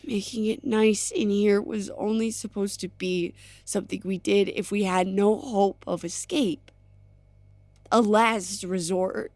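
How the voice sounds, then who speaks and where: A woman's voice: mid-range voice, steady tone, measured pace, a little mellow, no room sound, natural voice. An actress playing a distraught, accusing woman in an audio drama, speaking emphatically and confrontationally. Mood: hurt, accusing. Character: distraught, profane, accusing woman trapped at work.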